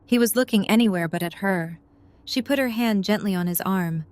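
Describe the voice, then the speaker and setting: A woman's voice: mid-range voice, normal speed, darkish tone. A woman reading scripted third-person story narration for an audio drama. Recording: faint background noise and ambience.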